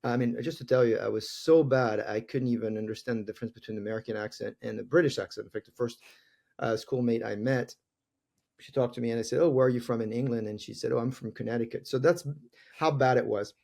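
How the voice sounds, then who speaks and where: A man's voice: medium pitch, steady tone, quick pace, creaky, darkish tone. A man in his forties from France with a French accent, a tech executive giving earnest, reflective answers as a guest in an interview. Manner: earnest, reflective, laughs easily.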